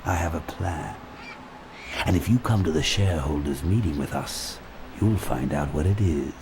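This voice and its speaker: Low-voiced, oily corporate villain. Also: male voice, vocal fry, noisy background. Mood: oily, persuasive. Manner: oily, formal, measured. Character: scheming, smooth-talking villainous corporate executive. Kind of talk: video game cartoon villain acting. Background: music.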